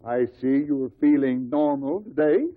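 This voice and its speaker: An actor playing a bitter, short-tempered husband, curt and sarcastic, in a 1950s radio drama. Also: male voice, medium pitch, normal speed, lightly acted. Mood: sardonic. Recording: tinny, noisy old radio recording.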